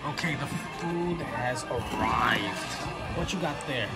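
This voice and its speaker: A man's voice: mid-range voice, lively intonation, slow pace. A middle-aged man chatting casually for a family vlog, narrating small actions in a laid-back, folksy way. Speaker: vlogger dad filming his family. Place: busy indoor chain diner. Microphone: handheld camera, operator behind it. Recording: loud music and restaurant crowd din.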